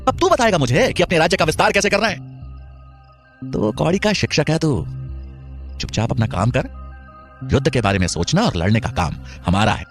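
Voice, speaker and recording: A man's voice: on the high side, very animated, a little fast, pauses often, faint music behind, voice pushed up. A man voicing an arrogant, furious tyrant king in a dramatized historical story, contemptuous and commanding. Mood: scornful anger.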